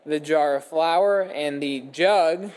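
A man's voice: fairly high pitch, slow pace, darkish tone. A man reading a Bible story aloud from a script as a dramatic narrative. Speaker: scripture reader. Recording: echoey hall with long reverb.

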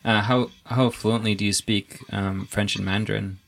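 A young man with a low voice and a gravelly, creaky edge leads a casual interview. He talks in a relaxed, easygoing way, restarting sentences as he thinks. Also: moderate pace.

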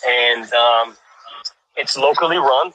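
Bright, husky-voiced enthusiastic man. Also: mid-range voice, poor audio. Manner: enthusiastic, halting with many restarts and fillers. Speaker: guest, cyclist. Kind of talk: casual video call chat. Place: cycling town, showing a cafe on camera.

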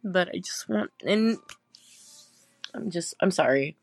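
A caller with a creaky, mid-pitched voice speaks haltingly and sounds heartbroken. It is a personal voicemail with a close, dry sound. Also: animated, dry recording. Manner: faltering, repeats words. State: upset, heartbroken.

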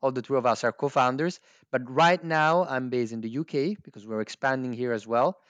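A man's voice: medium pitch, fairly quick, fairly bright tone, no room sound. A man from Italy with an Italian accent, a guest on a tech podcast, talking in a friendly, joking way. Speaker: guest, tech company co-founder. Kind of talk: casual podcast interview.

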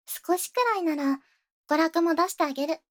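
A woman's voice: very high-pitched, fairly even intonation, moderate pace. A woman playing a possessive, sweetly menacing young rich girl in a Japanese ASMR voice drama, with cutesy, coaxing phrasing and whispers. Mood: sweetly gloating.

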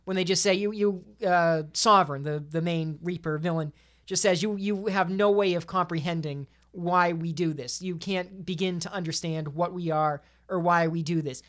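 A woman's voice: lowish voice, steady tone, moderate pace. A woman chatting casually as a podcast panelist, often repeating words and restarting her phrases as she argues a point. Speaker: podcast panelist debating games. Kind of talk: casual chat.

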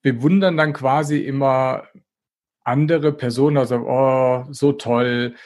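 A man's voice: mid-range voice, steady tone, measured pace, a little mellow. A man talking casually as a podcast guest, in a blunt, colloquial, motivational way. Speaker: podcast guest, diabetes expert. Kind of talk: casual conversational interview talk.

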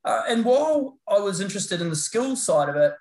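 A middle-aged man with a New Zealand accent, chatting as an interview guest in a relaxed, rambling way full of fillers and restarts. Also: high voice, brisk, vocal fry. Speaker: guest, paramedicine lecturer. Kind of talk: casual interview chat. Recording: roomy echo.

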